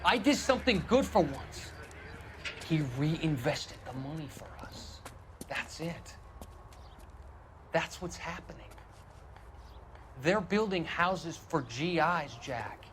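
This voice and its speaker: A man's voice: a little high, expressive, slow pace, pauses often, noisy background. A man acting an anxious, naive war veteran in a video game drama, pleading and defensive in manner. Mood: earnest justifying. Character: anxious, naive male war veteran insisting he meant well.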